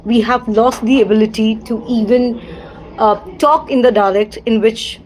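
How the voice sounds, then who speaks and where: A woman's voice: fairly high pitch, fairly even intonation, normal speed, darkish tone, low-quality recording. Indian-accented woman talking at length. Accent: Indian English. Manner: discursive, repeats set phrases, frequent fillers. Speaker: livestream host. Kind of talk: casual livestream monologue.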